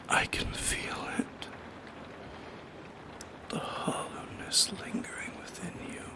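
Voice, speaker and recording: A man voicing a lonely, gently eerie shadow creature in an ASMR roleplay, in a breathy, hushed whisper with poetic, lingering phrasing. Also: fairly high pitch. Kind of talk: soft-spoken ASMR roleplay monologue.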